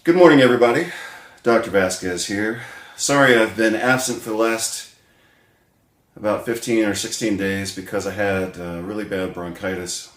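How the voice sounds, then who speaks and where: A wheezy man speaks bluntly and casually, wandering through his points with plenty of restarts. His voice sits a little low. The room around him rings with a clear echo. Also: normal speed. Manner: rambling, blunt, frequent "uh" restarts. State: wheezy from bronchitis. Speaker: chiropractic doctor and blogger. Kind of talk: casual talk to camera. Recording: roomy echo.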